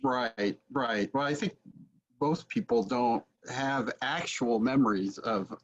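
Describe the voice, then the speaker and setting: A middle-aged man chatting as an interviewer, musing and a little halting, on a video call from a room at home. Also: mid-range voice. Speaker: interviewer, theater teacher. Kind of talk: casual interview chat. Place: book-lined room at home, indoors. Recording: dull lo-fi video call audio.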